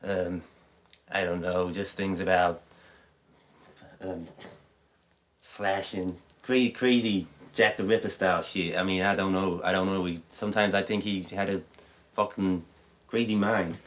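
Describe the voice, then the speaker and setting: Man acting a deep-voiced, hesitant rambler. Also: fairly even intonation, a little slow, pauses often, a bit bright. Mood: uneasy, musing. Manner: hesitant, rambling, repetitive, profane. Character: rambling, uneasy man reminiscing about a disturbed acquaintance.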